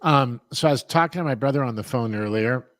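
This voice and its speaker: A man's voice: medium pitch, a little fast, no room sound. A middle-aged man from San Diego hosts the chat, rambling loosely and tossing in "man" as he goes. His voice sits in a middle range, recorded close and dry. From San Diego, USA. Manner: rambling, laid-back, says "man" a lot. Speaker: podcast host. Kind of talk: casual interview chat.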